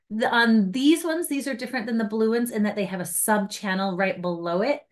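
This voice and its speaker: A woman's voice: mid-range voice, fairly bright tone. A woman giving an informal training demonstration, explaining things step by step in a casual, chatty way. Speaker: trainer of outdoor guides.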